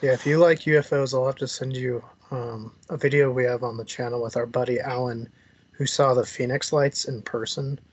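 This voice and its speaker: A man's voice: medium pitch, fairly even intonation, moderate pace, breathy edge. A man hosting an interview show, chatting casually with his guests. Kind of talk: casual chat.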